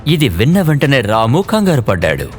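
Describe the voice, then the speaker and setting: A man narrating an animated children's story in an expressive storytelling manner. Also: mid-range voice, normal speed. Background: faint background music.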